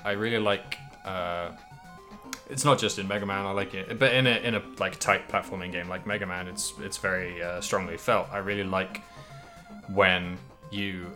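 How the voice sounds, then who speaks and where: A man's voice: deep voice, steady tone, moderate pace, bright, forceful tone, faint music behind. A man co-hosting a podcast, chatting casually about a video game in a rambling, think-aloud way. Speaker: podcast co-host.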